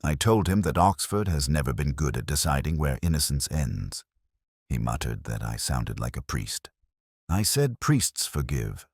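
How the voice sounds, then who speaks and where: A man with an extremely low voice narrates a detective drama in a measured, brooding way. His pitch rises and falls expressively from line to line, and the sound is dry and close. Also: very animated, slightly rough, dry recording. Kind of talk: first-person scripted detective drama narration.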